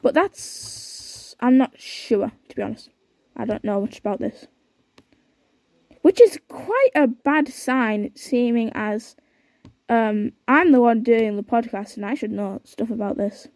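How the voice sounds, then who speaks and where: A woman's voice: fairly high pitch, a little slow, darkish tone, no echo. A young woman hosting a podcast, chatting casually and reading aloud with lots of fillers and unsure asides. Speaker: podcast host.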